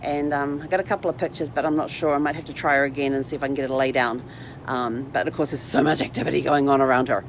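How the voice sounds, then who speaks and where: A woman's voice: very deep voice, fairly even intonation, fast, a little hiss or hum, poor audio. A woman talking casually and informally in a chatty, rambling livestream vlog style. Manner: chatty, informal, talks to her dog in asides. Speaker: vlog host. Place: inside a parked RV.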